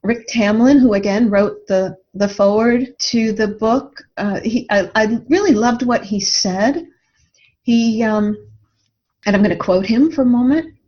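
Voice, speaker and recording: A woman's voice: a little high, unhurried, a little mellow. A woman speaking as a guest author in a conversational interview, in an earnest, reflective manner. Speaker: guest author promoting a book. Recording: dull, muffled lo-fi audio.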